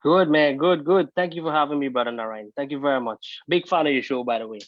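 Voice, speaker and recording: A man's voice: fairly high pitch, fast, no echo, muffled recording. A young man from Guyana, a guest singer talking easygoing and laid-back over a phone video call.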